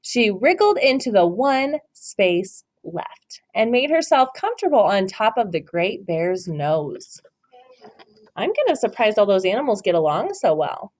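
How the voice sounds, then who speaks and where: A woman reading a picture book aloud to a child, stopping to ask questions, gentle and encouraging with dramatic storytelling. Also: mid-range voice, big pitch swings, a little slow.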